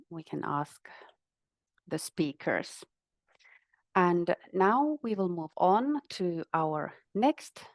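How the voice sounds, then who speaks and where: A woman's voice: medium pitch, a little mellow, dry recording. A woman moderating a webinar, making polite, formal, semi-scripted introductions of speakers.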